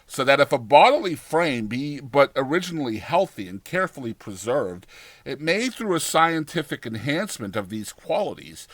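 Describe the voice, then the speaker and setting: A man reading a gothic horror story as a dramatized audiobook, with deliberate, theatrical delivery and formal, dramatic pauses. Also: mid-range voice, a bit bright, no room sound. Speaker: audiobook narrator.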